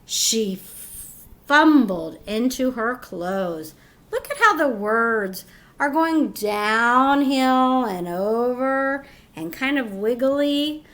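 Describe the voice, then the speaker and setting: A woman teacher reading a picture book to young children, expressive and instructive, pausing to ask questions. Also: fairly high pitch. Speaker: teacher reading aloud to pupils.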